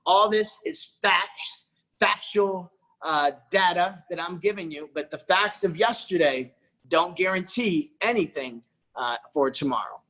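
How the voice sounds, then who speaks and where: A man's voice: high voice, lively intonation, a little slow, pushed and bright, poor audio. A man giving a persuasive sales presentation on a corporate video call, often calling out to his audience. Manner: persuasive, repeats "folks" and "okay".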